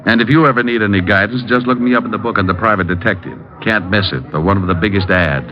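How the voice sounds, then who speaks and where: A muffled old radio recording of a man acting a wisecracking American private eye. His voice sits fairly low, and he delivers lines with breezy, teasing cool. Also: quick pace, soft background music, natural voice. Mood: wry narration. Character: wisecracking, cool-headed male private detective. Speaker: lead actor and narrator. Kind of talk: 1940s-50s radio detective acting.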